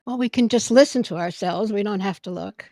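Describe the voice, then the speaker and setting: A woman hosting a podcast in casual chat, with a loud voice that projects when she gets excited. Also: medium pitch, a little fast, no room sound, clean studio sound. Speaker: podcast host. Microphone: podcast microphone.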